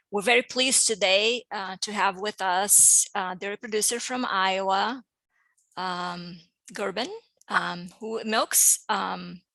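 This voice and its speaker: A woman's voice: medium pitch, pushed and bright, no room sound, poor audio. A woman hosting a webinar over a video call, speaking in a friendly way with frequent fillers. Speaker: host.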